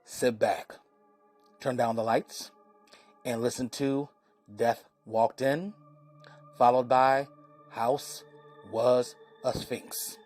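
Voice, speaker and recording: Slow-paced male podcast host over music. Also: mid-range voice, fairly even intonation, a little mellow. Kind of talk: scripted podcast introduction.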